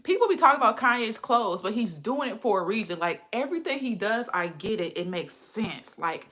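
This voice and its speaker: A woman's voice: mid-range voice, expressive, fairly quick, a bit bright. A woman in her thirties with a lisp, chatting casually with viewers on a livestream. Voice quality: says she has a lisp. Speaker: livestream host.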